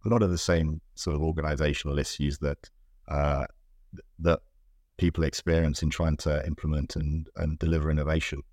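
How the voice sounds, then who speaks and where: A man's voice: very deep voice, level tone, brisk, darkish tone, dry recording, clean studio sound. A middle-aged British man from the UK answering interview questions in a thoughtful, hesitant way, with many ums and restarts. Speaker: guest, innovation director.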